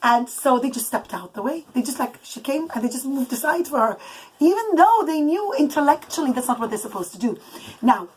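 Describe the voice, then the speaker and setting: Higher-voiced woman telling a dramatic bedtime story. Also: lively intonation, a little fast. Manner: dramatic, emphatic, with asides to children. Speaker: mother telling a story to her children. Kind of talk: improvised animated bedtime storytelling. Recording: noisy home recording with clicks. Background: young children chattering.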